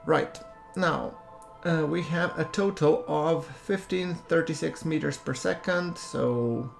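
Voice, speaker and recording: Gravelly-voiced man narrating gameplay. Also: a little high, slow pace, soft background music. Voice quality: soothing voice. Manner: relaxed, chatty, self-deprecating asides. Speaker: gameplay commentator. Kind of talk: casual let's-play commentary.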